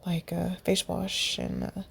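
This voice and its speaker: A woman voicing a kind, gentle young man in a mask who talks in a soft, reassuring way, in a storybook reading. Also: lowish voice, little pitch movement, takes it slowly, creaky, breathy edge, heavily acted.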